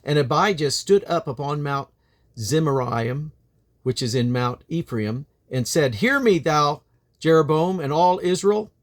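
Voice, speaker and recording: A man reading from the King James Bible in a solemn, deliberate manner, with emphatic phrasing and drawn-out endings. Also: on the high side, lively intonation. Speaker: Bible reader.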